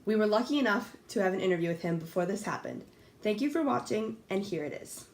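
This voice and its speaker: A woman's voice: medium pitch, animated, fast. A young woman runs a scripted mock talk show, with a voice that rises and falls a lot. She sounds upbeat and reads her questions off a script. The room around her is echoey.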